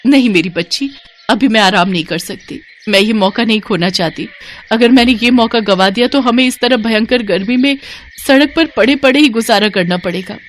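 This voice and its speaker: A woman's voice: a little high, moderate pace, slight background noise, lightly acted. A woman voicing a poor young mother and seamstress who pleads tearfully for help. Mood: pleading.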